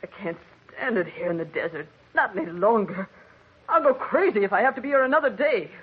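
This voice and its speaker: An actress playing a restless, unhappy young wife who pleads emotionally in an old radio drama. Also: female voice, medium pitch, lively intonation, fairly quick, breathy edge. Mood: defiant. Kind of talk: 1940s radio acting. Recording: tinny old radio with faint hiss.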